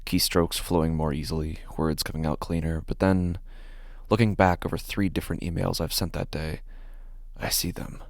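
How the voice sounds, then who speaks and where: Casting: man to play a fussy, nervous male office clerk telling his horror tale; deep, fry-edged voice, halting delivery. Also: flat delivery, no echo, clean studio sound. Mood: measured, uneasy. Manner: halting, deliberate, stammers when agitated. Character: anxious, meticulous male office worker unsettled by his typos. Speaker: narrator of a horror story. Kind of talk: first-person dramatic horror narration.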